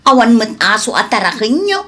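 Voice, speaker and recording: Woman acting high-pitched nosy Ilocano neighbour. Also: very animated, brisk, a bit bright. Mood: mocking, nosy. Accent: Ilocano Filipino. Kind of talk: amateur comedy radio drama.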